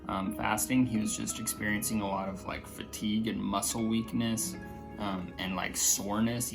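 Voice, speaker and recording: A man with a slightly raspy voice chatting casually in a vlog, hesitant, with many fillers and restarts. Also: fairly low pitch. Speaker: vlogger.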